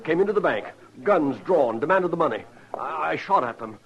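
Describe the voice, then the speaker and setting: An actor playing an agitated, stammering townsman in an old radio western, urgent and excitable. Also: a little high, normal speed. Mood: shaken, defensive. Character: agitated townsman who shot a bank robber. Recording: dull old radio recording, crowd behind.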